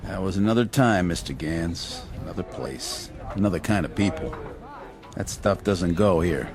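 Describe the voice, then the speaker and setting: An actor playing a steady, plain-spoken barman in a radio drama, reassuring and mildly concerned. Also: sits low, moderate pace. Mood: calm, concerned. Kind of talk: naturalistic radio drama acting.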